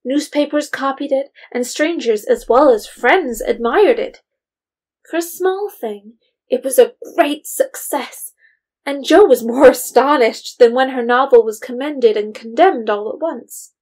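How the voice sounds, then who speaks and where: A woman's voice: high voice, unhurried, darkish tone. A woman narrator reading a scripted period story as storytelling narration.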